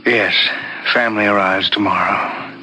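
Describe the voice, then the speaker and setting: Casting: mild, halting man, low but bright voice, noisy old radio drama. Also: steady tone. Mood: stunned, subdued. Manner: hesitant, halting. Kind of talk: 1970s radio drama acting. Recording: noisy 1970s radio recording.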